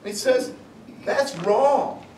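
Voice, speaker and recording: A priest in his forties gives a conversational sermon, animated and sprinkled with humor. His voice sits high for a man. He is heard from a distance in a roomy hall with a faint low hum behind him. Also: very slow. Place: parish hall with congregation. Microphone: far camera. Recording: echoey hall from far off.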